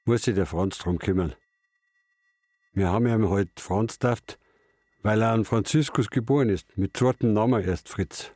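A man's voice: fairly low pitch, normal speed, warm tone, no room sound, studio quality, natural voice. An actor playing a stubborn, laconic elderly father and former fisherman in a radio drama. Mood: gruff, stubborn. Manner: laconic, clipped phrases. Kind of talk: radio drama narration and dialogue.

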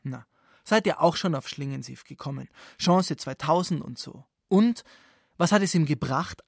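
A man with a fairly high, creaky voice in a dry, close studio sound. He presents satirical radio narration as a host, wry and ironic, tossing out rhetorical questions. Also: darkish tone, dry recording.

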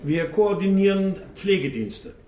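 Defensive male witness, highish voice, measured, radio play, reverberant noisy courtroom. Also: expressive, a little mellow. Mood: wary. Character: guarded male businessman testifying as a witness. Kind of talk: radio drama acting. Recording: echoey courtroom with clear background noise.

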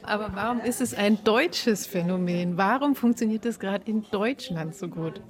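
A woman's voice: medium pitch, moderate pace. A woman interviewer putting short questions in a conversational way.

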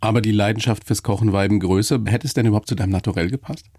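A man's voice: lowish voice, very fast, darkish tone, breathy edge, no echo, studio quality. A man interviewing in a conversational style, inquisitive and often interjecting with questions.

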